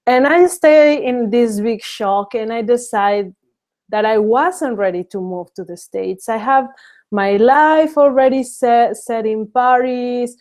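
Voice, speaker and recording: A woman's voice: a little high, slow pace, a little mellow. A woman from Mexico in her thirties hosting a casual webinar, speaking hesitantly with many fillers and restarts. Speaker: webinar host.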